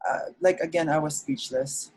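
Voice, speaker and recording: A man from the Philippines, a nurse's aide, talking casually over a video call, soft-spoken and full of "you know" fillers. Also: fairly high pitch, fairly even intonation, moderate pace, slightly rough. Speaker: nurse's aide recovering from illness, interview guest. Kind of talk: casual interview conversation.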